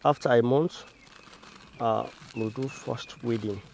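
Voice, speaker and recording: Methodical man, medium pitch. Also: fairly even intonation, slow pace, creaky, a little mellow. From Sierra Leone. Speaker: agricultural trainer. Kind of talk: step-by-step instructional demonstration.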